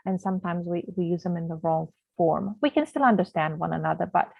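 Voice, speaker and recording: A woman's voice: fairly low pitch, fairly quick, vocal fry. A woman teacher from Canada, whose first language is not English, explains grammar patiently, with many fillers and restarts. Her voice comes through a tinny, phone-like video call. The sound is dry, with no room echo. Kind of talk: online grammar lesson.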